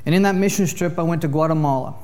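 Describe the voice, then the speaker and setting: A man preaching to a church congregation, telling jokey stories in a lively way. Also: on the high side, fast, clean tone. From Canada. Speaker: visiting preacher. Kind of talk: sermon with humorous storytelling.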